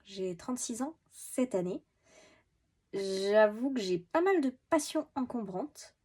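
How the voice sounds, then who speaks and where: A woman's voice: fairly high pitch, animated, slow pace, warm tone, husky. A woman in her thirties with a head cold, sniffling a little, chatting casually to camera for her beauty channel, self-mocking and full of fillers and restarts. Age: 30s. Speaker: beauty vlogger. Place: at home in an apartment.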